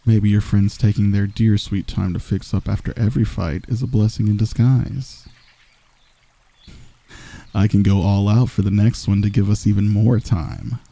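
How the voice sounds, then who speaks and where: Man acting a dark-voiced, smugly flirtatious villain. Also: on the low side, steady tone, normal speed, creaky. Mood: cocky, flirtatious. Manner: soft, intimate, teasing, cocky. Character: smug, teasing, flirtatious male villain wooing an enemy. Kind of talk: ASMR romantic roleplay. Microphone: close microphone.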